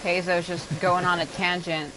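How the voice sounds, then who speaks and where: A woman's voice: lowish voice, flat delivery, moderate pace, crowd noise, rough recording. A woman chatting casually as a livestreamer, rambling and venting with casual swearing. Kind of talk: casual gaming livestream chat.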